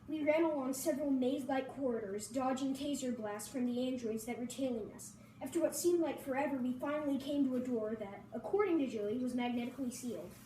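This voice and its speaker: Female narrator for a first-person story read, high-pitched, recorded in an echoing room with faint noise. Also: mellow. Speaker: reader at a table read. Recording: roomy echo, distant mic, faint noise.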